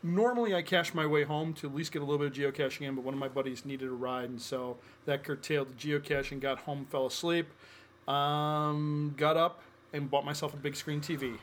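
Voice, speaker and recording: A man's voice: on the high side, steady tone, a little fast, background noise. Chatty upbeat man co-hosting a podcast. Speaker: podcast co-host. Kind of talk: casual chat.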